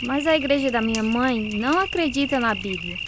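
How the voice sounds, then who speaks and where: Actress as higher-voiced woman telling her story. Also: animated, a little fast, background noise, close to an everyday voice. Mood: hesitant. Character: woman recounting her troubled youth, also as earnest teenager. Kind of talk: scripted dramatized narration.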